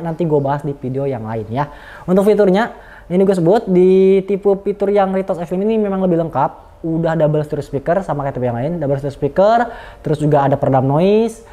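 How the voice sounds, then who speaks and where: Mellow-voiced man, chatty reviewer. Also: a little high. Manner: informal and slangy, chatty. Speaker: product reviewer. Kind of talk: casual product review, unscripted.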